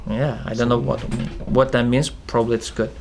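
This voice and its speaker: A young man with a heavy Russian accent talks through a casual viewer Q&A. He pauses often and fills gaps with "um" and "uh". He restarts his sentences as he goes. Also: medium pitch, level tone, noisy background. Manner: halting, many fillers and restarts. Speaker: channel co-founder hosting Q&A. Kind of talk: casual Q&A chat.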